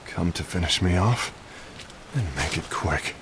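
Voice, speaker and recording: A man's voice: low-pitched, animated, measured pace, background noise. A man playing a proud, bitter warrior in a dramatic video game cutscene, brooding and emphatic. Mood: weary, resigned. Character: gruff, proud, bitter male warrior and comrade. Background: music.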